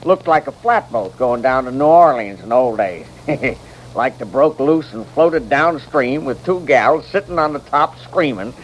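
Guy playing a folksy old west bartender, plain talker, medium pitch, bit of noise. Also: expressive, measured pace, highs cut off, lightly acted. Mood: folksy, amused. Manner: folksy, ungrammatical western talk. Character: plain-spoken, easily amused frontier saloon keeper. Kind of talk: 1940s-50s radio western acting. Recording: noisy old radio recording.

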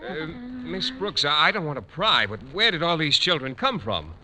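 A man's voice: fairly high pitch, sing-song, moderate pace, crowd noise. An actor playing a shy, earnest, naive male teacher in a 1940s radio comedy, polite and stammering. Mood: bewildered. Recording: noisy old radio recording with clicks.

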